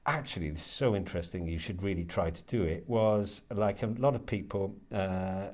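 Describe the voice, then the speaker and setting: A British man in his fifties talking reflectively in an interview, halting and restarting as he searches for his words. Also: low-pitched. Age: 50s. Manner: hesitant, halting, searching for words with repeated starts. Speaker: interviewee, writer and lecturer.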